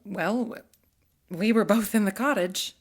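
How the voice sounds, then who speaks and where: A woman's voice: mid-range voice, slow pace, slightly rough. An actress playing a kindly guest-house keeper and protective mother in an audio drama, polite and reassuring. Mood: apologetic.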